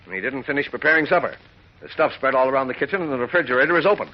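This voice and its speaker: An actor playing a blustering, excitable middle-aged newspaper chief in a 1940s radio drama. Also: male voice, medium pitch, racing, close to an everyday voice. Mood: brusque, concerned. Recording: dull old radio recording.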